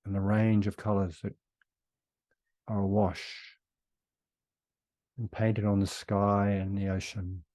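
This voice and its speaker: A man leading a guided meditation in a soothing, unhurried way with long pauses between phrases. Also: fairly low pitch, level tone, darkish tone, no echo.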